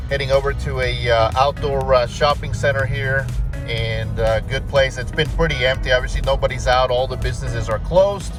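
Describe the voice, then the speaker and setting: Enthusiastic rambling man vlogging over music. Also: mid-range voice. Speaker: channel host. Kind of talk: casual car vlog talk to viewers. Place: in a car, later outdoor parking lot. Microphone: phone camera mic.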